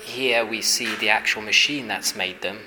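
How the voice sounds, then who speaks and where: A man from Hungary, an artist, answering interview questions thoughtfully, with many pauses, fillers and restarts. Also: on the low side. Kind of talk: exhibition interview. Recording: noisy ambience at an exhibition.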